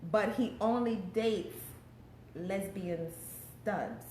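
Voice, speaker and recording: A woman guest in a casual live interview, animated and expressive, laughing easily as she talks. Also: medium pitch, drawn-out words. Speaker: guest, show producer and host. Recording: echoey room, faint ambient noise.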